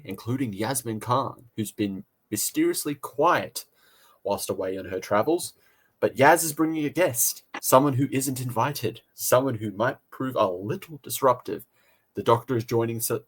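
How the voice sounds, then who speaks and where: A man's voice: mid-range voice, slightly rough. A man with an Australian accent hosts a podcast review in a casual, chatty way with a jokey, teasing streak.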